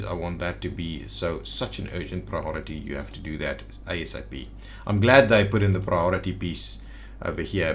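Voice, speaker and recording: A man with a fairly low voice hosts a gameplay video in a casual style. He thinks aloud and mutters to himself as he works at the computer. Faint background noise and keyboard clicks sit behind his voice. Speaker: gaming video host. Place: indoors at a computer.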